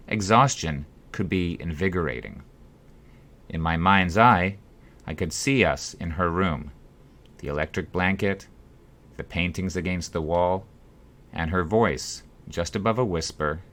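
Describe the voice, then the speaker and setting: A man reading his own first-person story as an audiobook, with measured, deliberate phrasing. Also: sits low, fairly bright tone. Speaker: author reading own book.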